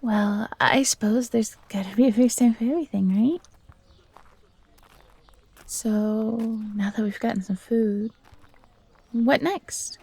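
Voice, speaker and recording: A woman voicing a young fox girl, timid but eager and grateful, soft and breathy, in a scripted audio roleplay. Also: a little high, slow, pauses often, no echo, full character voice. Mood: grateful, earnest.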